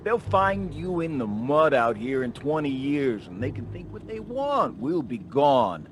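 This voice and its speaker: A man playing a murderous gangster in a radio play, speaking in a snarling, menacing, drawn-out way. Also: mid-range voice, sing-song, background noise.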